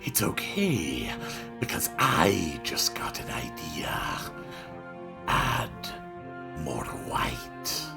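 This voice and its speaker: A man voicing a cryptic, exacting young woman painter in a slithery, breathy, slow voice, as in a visual novel reading. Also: extremely low pitch, huge pitch swings, over music, pitched below the performer's own voice, heavily acted. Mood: cryptic, musing. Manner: slow, terse, dreamy and cryptic.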